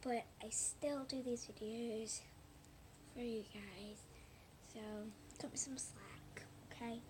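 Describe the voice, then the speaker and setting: Hoarse, high-pitched woman losing her voice. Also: fairly even intonation, takes it slowly. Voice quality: hoarse, breathy, often dropping out into whisper. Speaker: slime video maker talking to viewers. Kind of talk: casual hands-on demonstration chat to camera. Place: at home indoors. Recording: noisy amateur home recording.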